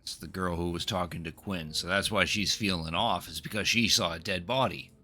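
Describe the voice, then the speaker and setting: Bright-toned man streaming a game. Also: deep voice, brisk. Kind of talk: casual game commentary, reading dialogue aloud.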